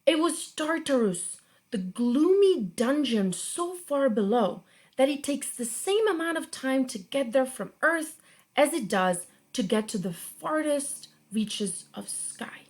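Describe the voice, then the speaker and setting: A young woman reading a myth aloud for a children's storytime, slowly and expressively. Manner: slow, expressive read-aloud with lively pitch. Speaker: guest reader.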